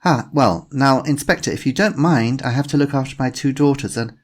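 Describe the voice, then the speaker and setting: A man's voice: mid-range voice, fairly quick, voice pushed up, lightly acted. A man voicing a father who speaks carefully and guardedly, somewhat on edge. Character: father, uneasy, guarded, polite.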